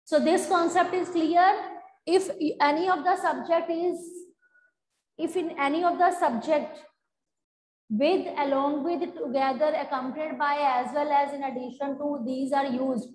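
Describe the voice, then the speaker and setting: High-voiced Indian English teacher, roomy classroom. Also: female voice, fairly even intonation, measured pace, a little mellow. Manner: repetitive, checks in with students often. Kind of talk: online grammar lesson at a chalkboard. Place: classroom in front of a chalkboard. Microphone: lapel mic.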